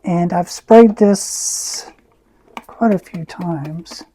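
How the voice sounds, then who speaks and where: A woman with a raspy voice, who often clears her throat as she chats through an art tutorial. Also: lowish voice. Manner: slow, soft-spoken, frequent throat clearing. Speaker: art channel host. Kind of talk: casual art tutorial chat.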